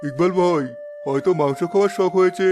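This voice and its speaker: A man's voice: sits high, quick pace, over music, close to an everyday voice. A man playing a rich villager's sneering crony in a cartoon, talking mockingly. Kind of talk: cartoon dialogue.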